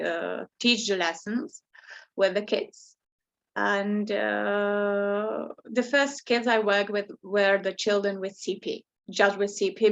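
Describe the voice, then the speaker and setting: A woman with a Czech accent speaks at a middle pitch in non-native English. She gives an informal personal talk as a yoga teacher, leaning on key words and repeating them for emphasis. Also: dull audio. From Czech Republic.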